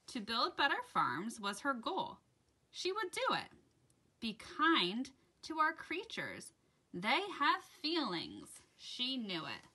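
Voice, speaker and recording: A woman host reading aloud to children in an expressive, deliberate storytelling style. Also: on the high side, breathy edge. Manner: dramatic, deliberate storytelling delivery addressed to children. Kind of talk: expressive read-aloud of a rhyming children's picture book.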